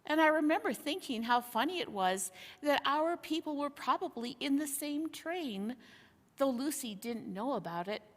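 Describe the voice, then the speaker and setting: A woman's voice: high-pitched, animated, normal speed. A woman voicing an earnest, reassuring young boy king in a storybook reading. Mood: earnest recollection. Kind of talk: storybook character dialogue. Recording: hall-like long reverb.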